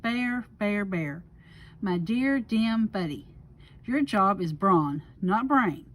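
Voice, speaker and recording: A woman's voice: medium pitch, expressive, slow, fairly bright tone. A woman voicing a sly, smug male storybook fox who talks in a pompous, condescending, theatrical way. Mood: condescending, impatient.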